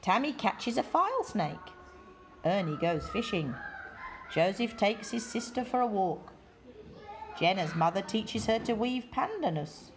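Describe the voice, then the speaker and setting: Woman reading a children's story, very expressive pitch. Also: a little hiss or hum. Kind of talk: read-aloud children's story.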